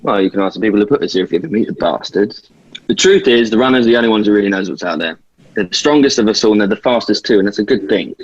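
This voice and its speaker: A man reads a seasoned young leader guiding a newcomer through a dangerous place. His voice is low and husky, explaining things in a table-read drama, and the recording is slightly muffled. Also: animated, racing. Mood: grave, ominous.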